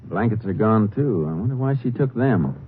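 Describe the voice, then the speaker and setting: Deep-voiced, methodical Texas lawman played by an actor. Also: lively intonation, moderate pace, natural voice. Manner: clipped, matter-of-fact, polite. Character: calm, methodical, courteous male Texas Ranger investigator. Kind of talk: 1940s-50s radio drama acting. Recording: narrow, noisy old radio recording.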